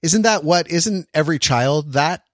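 Man musing haltingly, close and dry. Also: fairly high pitch, expressive, dry recording. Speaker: podcast host. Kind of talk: casual panel discussion.

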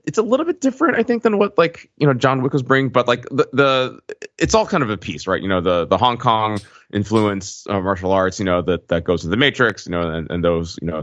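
A man co-hosting a podcast, casually discussing film in a halting, stop-and-start way, on a dull recording. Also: deep voice, dry recording. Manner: halting, stop-and-start, many filler tags. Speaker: podcast co-host. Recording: dull, slightly lo-fi, dry microphone.

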